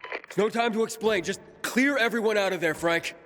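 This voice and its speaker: A man's voice: high voice, fairly quick, background noise, close to an everyday voice. An actor playing a tough, protective young male survivor in a video game cutscene, terse and urgent. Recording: loud music behind.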